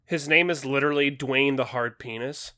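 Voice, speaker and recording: A man's voice: medium pitch, normal speed, sharp tone. A man co-hosting a podcast with blunt, sarcastic, comedic banter, often cutting in. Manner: blunt, sarcastic, foul-mouthed, interrupting. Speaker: podcast co-host.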